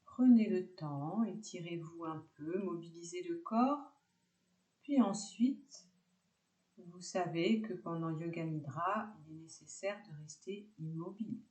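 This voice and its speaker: Slow, low-voiced woman guiding relaxation. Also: reverberant. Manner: calm instructions in short, separated phrases. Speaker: yoga nidra teacher. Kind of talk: guided relaxation meditation.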